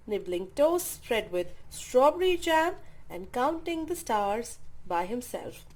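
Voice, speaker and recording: Female children's storyteller. High, very lively pitch, unhurried delivery, dramatic emphasis for little listeners. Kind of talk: expressive read-aloud of a picture book.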